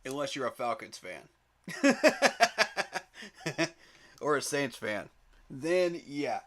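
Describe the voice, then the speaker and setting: A man's voice: on the high side, big pitch swings, extremely slow, fairly bright tone. A man hosting a live sports fan stream, talking straight to his chat in an emphatic, pleading way and chuckling at rival fans.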